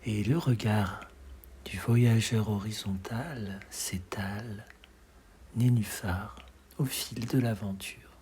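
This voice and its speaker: A man with a breathy, husky voice reciting poetry expressively, in measured dramatic phrases that often sink to a hush. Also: lowish voice, a little hiss or hum. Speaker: poetry reader.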